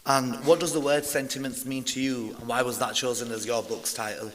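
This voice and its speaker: A man's voice: mid-range voice, level tone, fairly quick, fairly bright tone, slight background noise. A man hosting a book launch, putting polite, prepared questions to the author. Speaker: event host asking questions. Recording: long reverb in a hall.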